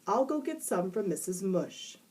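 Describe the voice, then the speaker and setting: A woman playing a calm, level-headed schoolteacher. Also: medium pitch, moderate pace, recorded from a distance, close to an everyday voice. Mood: matter-of-fact. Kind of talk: storybook reading.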